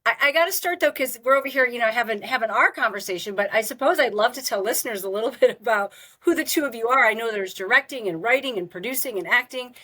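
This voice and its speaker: A woman with a fairly high voice who hosts a podcast from a remote video call. She talks in a playful, rambling way, often poking fun at herself. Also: animated, fast, few pauses, sharp tone. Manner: playful, self-deprecating, rambling. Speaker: podcast host. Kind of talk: casual interview chat.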